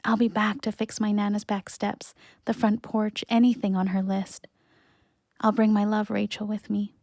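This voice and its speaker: Earnest young woman in an audio drama, actress, grieving but hopeful, flat even delivery, dry. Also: mid-range voice, moderate pace, dry recording, natural voice. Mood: resolute, hopeful. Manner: earnest, plain-spoken. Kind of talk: audio play acting.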